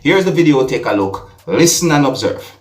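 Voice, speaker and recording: A man with a Jamaican accent hosts an opinion vlog, speaking deliberately to his viewers with frequent rhetorical asides. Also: a little high, expressive. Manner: deliberate, repeatedly addresses listeners with rhetorical asides. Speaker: vlog host and commentator. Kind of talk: opinion commentary addressed to viewers. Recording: echoey room with music. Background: music.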